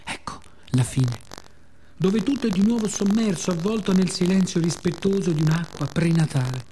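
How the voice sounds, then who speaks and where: A man performing a poetic theatrical radio monologue in Italian, with deliberate, dramatic phrasing, pauses and repetitions. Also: sits high, expressive, warm tone, a little hiss or hum. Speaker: actor performing own monologue.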